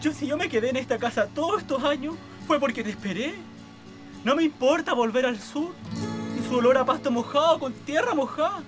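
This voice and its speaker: A woman's voice: high voice, expressive, brisk, over music. An actress playing a devoted, wounded woman who has waited years for her lover, speaking with heartfelt pleading in a radio play. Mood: pleading, hopeful. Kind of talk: radio theatre acting.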